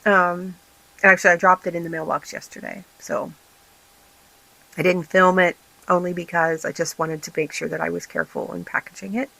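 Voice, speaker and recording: A woman hosting a casual vlog, her voice raspy and tired, rambling with many fillers and restarts. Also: lowish voice, little pitch movement, normal speed, sharp tone, no echo, low-quality recording. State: tired, recovering from illness. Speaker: vlog host and small craft business owner. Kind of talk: casual chatty vlog update.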